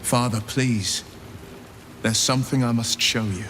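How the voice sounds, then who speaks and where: A man's voice: medium pitch, steady tone, measured pace, fairly bright tone, slight background noise, lightly acted. Man playing an earnest, noble fantasy hero. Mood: earnest, pleading. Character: earnest, noble adult son, heroic adventurer. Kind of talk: dramatic fantasy game acting.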